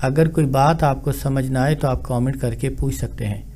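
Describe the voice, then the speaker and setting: A man speaking as a spiritual healer, giving instructions in a formal, measured religious monologue. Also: mid-range voice, fairly even intonation, warm tone, a little hiss or hum.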